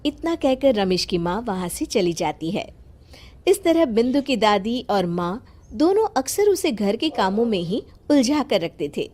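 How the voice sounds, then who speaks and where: A woman reading scripted narration for an animated family drama. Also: fairly high pitch, measured pace.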